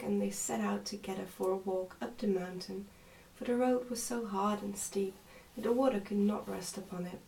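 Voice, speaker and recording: A woman reading a storybook as soft-spoken, measured ASMR narration. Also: mid-range voice, creaky, echoey room, recorded from a distance, a little hiss or hum, low-quality recording. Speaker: storybook reader.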